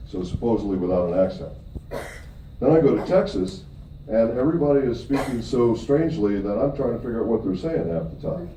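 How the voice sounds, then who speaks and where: A man's voice: medium pitch, lively intonation, a little fast, mellow. A middle-aged man from Michigan gives a seminar talk to an audience, emphatic, with upward swings on key points and frequent check-ins of "okay?" with the room. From Michigan, USA. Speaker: presenter at a company event. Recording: distant, echoey, dull audio with faint noise.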